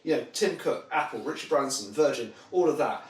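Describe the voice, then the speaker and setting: A man speaks as an interview guest in a roomy space, sitting a little away from the microphone. His delivery is conversational and explanatory, built around examples, in a medium-pitched voice. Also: animated, a little fast. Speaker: podcast guest, marketing trainer. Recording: roomy echo, distant microphone.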